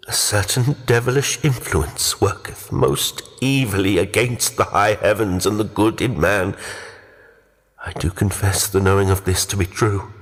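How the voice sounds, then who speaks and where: A man's voice: lowish voice, a bit bright, long reverb tail. A man voicing a devout condemned man making a solemn, archaic, prayerful declamation in a horror audiobook.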